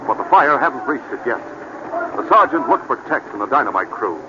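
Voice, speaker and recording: A man's voice: mid-range voice, sing-song, fairly quick. A man narrating a story in the dramatic scripted style of old-time radio. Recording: noisy, muffled vintage radio recording.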